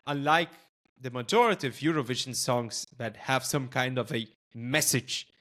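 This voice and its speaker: A man's voice: mid-range voice, moderate pace, bright, forceful tone. A man whose first language is Lithuanian, hosting a podcast interview in a thoughtful way with many hesitations. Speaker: podcast host.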